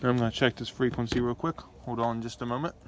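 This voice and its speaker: A man's voice: medium pitch, fairly even intonation, quick pace. A man with a mid-range voice gives a casual, hands-on hobby demonstration. He talks plainly, with murmured asides, as if busy with equipment. It is a home recording with light noise behind him. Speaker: radio hobbyist presenter. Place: indoors at night beside radio and laptop. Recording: home video with background noise.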